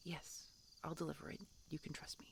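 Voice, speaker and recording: A woman plays a tsundere dragon hunter with a gravelly fry in her voice. She is prickly and guarded yet softening, speaking in grudging, halting, often hushed phrases. It is an intimate fantasy audio roleplay, with faint insect ambience behind her. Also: low voice, slight background noise. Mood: huffy, curious.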